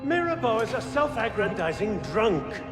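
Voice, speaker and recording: An actor playing a scornful, scheming commander of a secret order in a video game, speaking with disdainful authority. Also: male voice, sits high, sing-song, moderate pace. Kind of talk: dramatic video game acting. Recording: reverberant hall under loud music.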